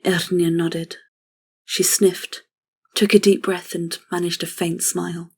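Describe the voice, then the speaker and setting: A woman with a husky, breathy voice reading scripted dramatic story narration. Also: on the low side, level tone, slow pace, a little mellow.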